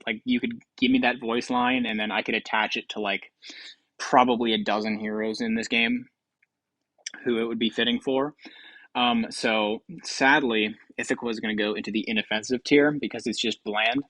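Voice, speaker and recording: A man hosts casual game commentary, thinking aloud in an offhand ramble. His voice sits at an average pitch and is recorded close and dry. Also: fairly even intonation, moderate pace, fairly bright tone, no echo, rough recording. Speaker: host of a game commentary show.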